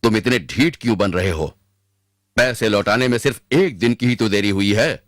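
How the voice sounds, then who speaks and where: A man playing a stern, just emperor of old India in an animated moral story, with a regal, commanding manner. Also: fairly low pitch, moderate pace, no room sound, clean studio sound, natural voice. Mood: measured. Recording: music behind the voice.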